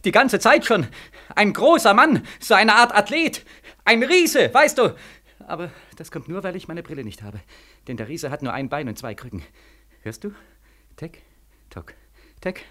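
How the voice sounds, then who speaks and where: An actor playing a despairing, haunted young war veteran in a 1940s radio play, halting and anguished, swinging from hushed to frantic. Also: male voice, fairly high pitch, sing-song. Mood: fearful, feverish.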